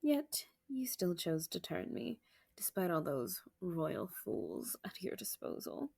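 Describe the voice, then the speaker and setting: A woman playing a proud, bitter vampire warrior in a dramatic audio roleplay, with a raspy voice and formal, argumentative manner. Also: on the low side, wildly swooping pitch, slow pace, dark tone, exaggerated voice. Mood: indignant, bitter. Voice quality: raspy, gravelly. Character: defiant, bitter female vampire warrior, proud former farm girl.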